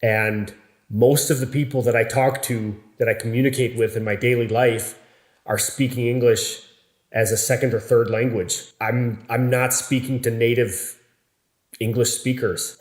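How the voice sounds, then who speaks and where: Slow, clear-spoken American man vlogging. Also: mid-range voice, a little mellow. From United States. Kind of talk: casual vlog talk to camera. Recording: echoey room.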